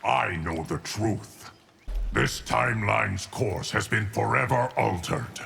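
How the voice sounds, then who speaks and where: An actor playing a solemn, immortal guardian of time in a video game, speaking in a formal, stern, measured way. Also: male voice, fairly low pitch, gravelly, fairly bright tone, a little hiss or hum, exaggerated voice. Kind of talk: video game character dialogue.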